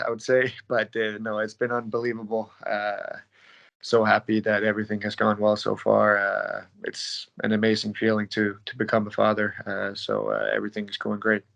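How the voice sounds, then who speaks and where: Man, late twenties, from Denmark, low pitch, flat intonation, laid-back, casual interview answers. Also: normal speed, creaky, low-quality recording. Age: late 20s. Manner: laid-back, not loud. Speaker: professional ice hockey player being interviewed.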